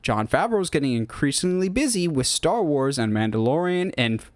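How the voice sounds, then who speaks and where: Guy podcaster w kinda higher voice, dry close sound, rambly opinionated movie fan commentary. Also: big pitch swings, normal speed, no echo. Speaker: podcast host. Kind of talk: casual movie news commentary.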